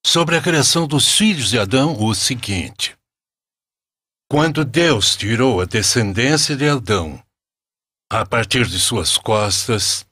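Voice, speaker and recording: Male narrator for sacred tales: solemn, very varied intonation, slightly husky, close dry sound. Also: on the low side, pushed and bright, dry recording. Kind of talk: scripted religious story narration.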